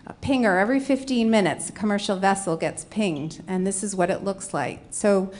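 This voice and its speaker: A woman's voice: mid-range voice, moderate pace, a little mellow. Woman, middle-aged, Rhode Island accent, conference panelist, explaining slides, mid-range voice. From Rhode Island, USA. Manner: explanatory, walking through slides. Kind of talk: conference presentation.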